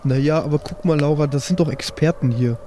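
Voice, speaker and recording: Mellow-voiced man, slangy and defensive. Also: a little high, brisk, a little hiss or hum. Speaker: player in improvised role-play podcast. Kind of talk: casual improvised in-character dialogue.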